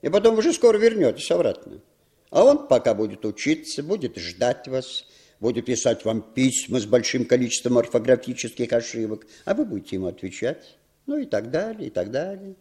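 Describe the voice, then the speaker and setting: An actor playing an urbane, commanding, cynical intelligence chief in a 1960s Soviet radio drama, with deliberate, slyly ironic delivery. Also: male voice, mid-range voice, sing-song, warm tone, breathy edge. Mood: teasing.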